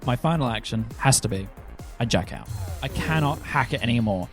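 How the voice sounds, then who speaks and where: A man's voice: medium pitch, animated, brisk, soft background music. A man chatting casually as a player in a tabletop role-playing game.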